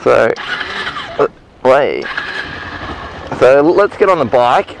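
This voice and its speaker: A man teaching motorcycle gear changes while riding slowly around an outdoor car park, talking casually through a helmet mic with lots of fillers and restarts. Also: medium pitch, vocal fry. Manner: relaxed, rambling, many fillers and restarts. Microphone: helmet-mounted mic with visor down. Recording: muffled helmet echo with engine noise.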